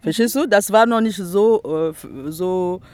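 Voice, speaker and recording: A woman from the Republic of the Congo with a Congolese accent, whose first language is Teke. She speaks German as an interviewee, searching for words and filling pauses often. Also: mid-range voice, a little mellow, dry recording. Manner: halting non-native German, searching for words with many fillers. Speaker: artist, interviewee. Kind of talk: casual interview chat. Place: outdoors in the grass by a lake.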